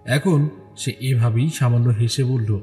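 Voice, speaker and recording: A man's voice: mid-range voice, a little slow, dark tone. A man reading scripted story narration as the narrator of an audio drama. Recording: long reverb, music underneath.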